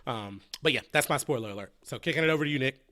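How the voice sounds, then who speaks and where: A man's voice: mid-range voice, racing, pushed and bright. A man hosting a casual podcast chat, upbeat and rambling, sounding stuffy from a cold. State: congested with a cold. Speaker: podcast host.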